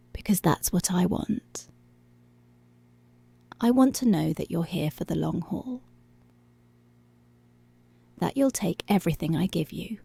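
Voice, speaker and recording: Seductive, controlling policewoman played by a woman for ASMR roleplay: mellow, creaky, half-whispered, teasing, close-miked. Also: medium pitch, no echo, heavily acted. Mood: stern, teasing. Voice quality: breathy, often slipping into whisper. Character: dominant, commanding, seductive policewoman. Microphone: close microphone.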